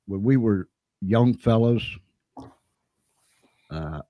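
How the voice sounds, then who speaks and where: A man hosting a live interview show in an upbeat, energetic manner. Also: fairly low pitch, very slow, no echo, highs cut off. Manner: upbeat, energetic host patter. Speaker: show host.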